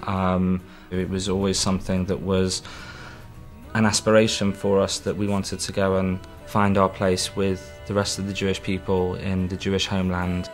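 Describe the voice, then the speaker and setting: Guy, earnest, pauses and ums a lot, deep monotone voice. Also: faint music behind. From Britain. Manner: earnest, reflective, halting with many ums. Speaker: emigrating husband. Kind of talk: documentary interview.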